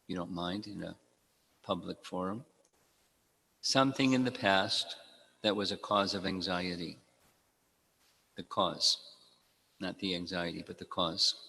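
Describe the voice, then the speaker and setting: A man giving a devotional talk to a congregation, speaking in a measured way, repeating key phrases and quoting Sanskrit verses. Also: sits low, bright, forceful tone. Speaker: spiritual teacher. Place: temple hall. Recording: long reverb in a hall.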